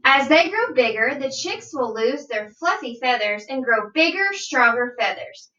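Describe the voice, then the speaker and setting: Female primary teacher for children's read-aloud: bright, unhurried, instructive delivery, slightly distant from the mic. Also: high voice, sing-song. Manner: deliberate, instructive, asks listeners questions. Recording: dull, roomy sound, distant from mic.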